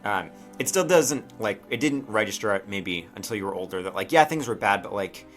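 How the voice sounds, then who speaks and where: A man improvising narration as game master of a tabletop game, conversational and halting, often checking in with the players. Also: fairly low pitch, lively intonation, fairly bright tone. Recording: music behind the voice.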